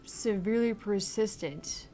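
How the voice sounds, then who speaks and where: A young woman co-hosting a casual let's-play stream, animated, laughing often and swearing casually. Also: mid-range voice, measured pace, soft background music. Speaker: game streamer co-host.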